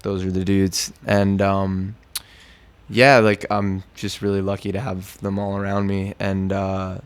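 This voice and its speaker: A man's voice: deep voice, monotone, unhurried, smooth. An American man, a musician, chatting casually in an interview in a laid-back, slangy, conversational way. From New York, United States.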